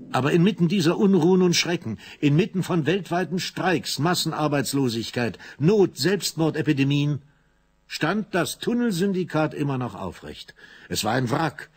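A man narrating a radio play with a vivid, dramatic reading. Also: medium pitch.

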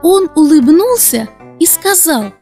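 A gentle little girl host, voiced by a woman, very high and lively in pitch. She tells children's stories slowly and kindly, with music faintly behind her. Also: heavily acted. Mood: storytelling. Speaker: host and storyteller. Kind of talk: expressive children's storytelling.

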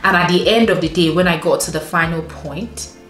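A young woman in her twenties talks straight to camera in a frank, emphatic way, with a slightly low voice. The room around her echoes, and faint music plays underneath. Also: fairly quick, a bit bright. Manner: frank and emphatic, addresses viewers as sis, laughs easily. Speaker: lifestyle vlogger. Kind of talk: candid direct-to-camera advice. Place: home room indoors. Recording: roomy echo with faint music.

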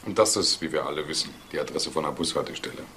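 A man's voice: extremely low pitch, fast talker, fairly bright tone, slight background noise. An actor playing a plain-spoken male police detective in a naturalistic crime audio drama. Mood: matter-of-fact. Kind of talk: naturalistic German radio drama acting.

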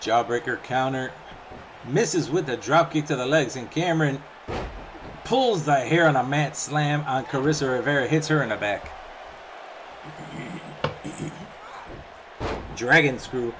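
A young man doing play-by-play commentary over a wrestling video game, chatty and casual between calls and getting excited on the big moves. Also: medium pitch, slow, long pauses, background noise. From Puerto Rican background. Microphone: home microphone, voice-over on game footage.

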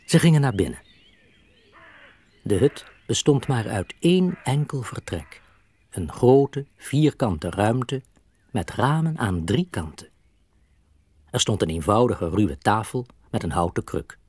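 A man narrating a scripted children's story as an expressive storyteller. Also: fairly low pitch, takes it slowly, pauses often, husky, no echo.

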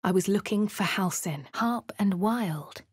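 An actress voicing a posh English woman adventurer in a video game, speaking crisply with a dry, self-assured manner. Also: medium pitch, unhurried, dry recording. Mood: wry. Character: posh young woman adventurer, cool and wry. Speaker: player character. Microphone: close studio mic.

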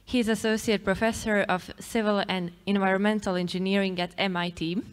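A woman's voice: medium pitch, flat delivery, fairly quick, smooth. A young woman, introducing a speaker from notes at a stage microphone in a theatre. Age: young adult. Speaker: event presenter introducing a speaker. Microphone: microphone on a stand. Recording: echoey hall with long reverb.

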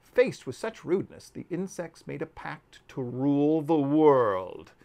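Expressive, animated man reading a story slowly. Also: fairly high pitch, gravelly. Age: 50s. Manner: deliberate, expressive, with dramatic pauses. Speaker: author reading aloud. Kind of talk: dramatic storybook narration. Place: book-lined room at home.

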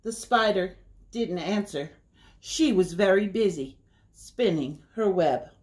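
A middle-aged woman hosting a children's story time, reading aloud in a deliberate, sing-song way. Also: medium pitch, expressive, darkish tone. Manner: slow, deliberate, sing-song repetition for young listeners. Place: small indoor room by a window.

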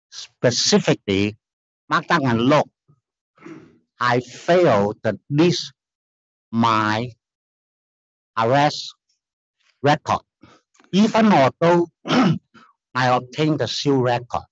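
A middle-aged man with a Chinese accent and Chinese as his first language, testifying at a formal hearing in halting, earnest, insistent English from a lectern. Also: mid-range voice, dull audio. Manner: halting non-native phrasing, earnest and insistent. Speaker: applicant appealing a denial. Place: large hearing room, standing at a lectern.

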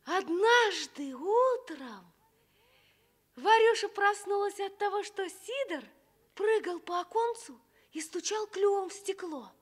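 A woman narrating a children's tale for radio with deliberate, expressive phrasing. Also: extremely high pitch. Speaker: storyteller.

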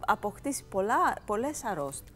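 A woman's voice: mid-range voice, sing-song, a bit bright. A woman in her forties, a grooming salon owner, talking eagerly in a TV studio interview on a lapel mic.

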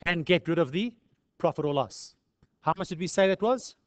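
High-pitched South African man teaching. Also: lively intonation, normal speed, no echo. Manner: conversational, peppered with rhetorical questions and "eh". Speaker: accounting lecturer. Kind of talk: informal tutorial explanation.

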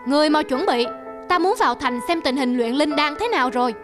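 Cartoon emperor: high-pitched, commanding, impatient, clear music behind. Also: female voice, expressive, brisk. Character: imperious, impatient male emperor of a folk tale. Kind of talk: cartoon voice acting.

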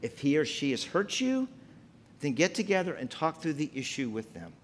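Animated middle-aged preacher at the pulpit. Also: male voice, normal speed, slight background noise. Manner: preaching with wide pitch swings, emphatic and gesturing. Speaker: pastor. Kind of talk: sermon. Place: church sanctuary at a pulpit, indoors.

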